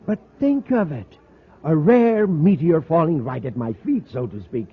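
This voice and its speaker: An actor playing an eager, fussy meteorite professor, polite and hesitant, in 1950s radio drama style. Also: male voice, fairly high pitch, expressive, measured pace, breathy edge. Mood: excited. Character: eager, excitable male professor, a meteorite expert. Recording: tinny lo-fi vintage radio recording.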